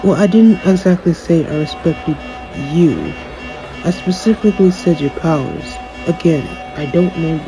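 A man's voice: on the high side, moderate pace, over music, lightly acted, low-quality recording. A man playing a cocky, jaded young superhero in an audio roleplay, talking in a dry, sarcastic, aloof way. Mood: aloof, smug. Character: jaded, cocky young male superhero, dismissive of his fan. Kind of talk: audio roleplay acting, one-sided conversation.

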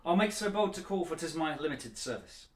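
Loyal thane, Shakespeare radio play: male actor, high-pitched, urgent, in an echoing room. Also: expressive, a little fast, close to an everyday voice. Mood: brisk, courteous. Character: urgent, loyal Scottish nobleman, horrified by murder. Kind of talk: classical Shakespearean radio drama acting.

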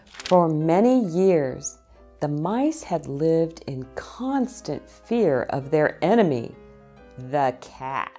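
A middle-aged woman hosting a children's storytime, reading aloud in a deliberate way and speaking directly to young listeners. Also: deep voice, very animated, warm tone, soft background music. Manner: deliberate phrasing, addressing child listeners with questions. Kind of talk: slow, animated picture-book read-aloud for young children. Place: small indoor set with bookshelves, seated in an armchair.

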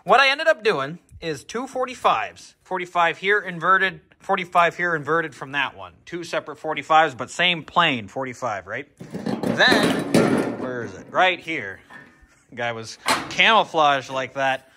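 A man's voice: fairly high pitch, animated, a little slow, bright, forceful tone, a little hiss or hum. A young man casually walking viewers through his garage build, easygoing and poking fun at his own mistakes, filmed on an action camera. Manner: easygoing, self-deprecating, talks straight to viewers. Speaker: garage builder showing a project. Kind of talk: casual how-to workshop vlog. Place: large indoor workshop garage. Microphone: handheld or body-worn action camera.

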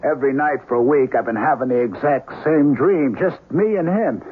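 A man's voice: mid-range voice, normal speed, slightly rough, natural voice. An actor playing a frightened, agitated, insistent male patient in a 1970s radio drama. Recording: narrowband vintage radio recording.

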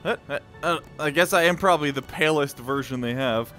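Playful, high-pitched man doing excited game commentary. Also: a little fast. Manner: playful, exclamatory, goofy sound effects and sing-song. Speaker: gameplay video host. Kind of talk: excited let's-play commentary. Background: video game music.

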